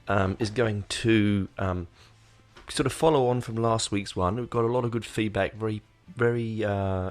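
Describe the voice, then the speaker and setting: A man hosting his podcast in casual, rambling talk, full of fillers and restarts, sounding nasal while getting over an illness. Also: lowish voice. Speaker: podcast host. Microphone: desk microphone.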